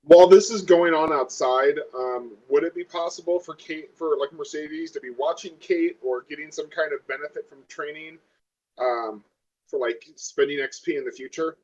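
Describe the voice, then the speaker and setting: A man's voice: fairly high pitch, moderate pace, darkish tone. A man talking casually as a player in a tabletop role-playing game, on a lo-fi recording.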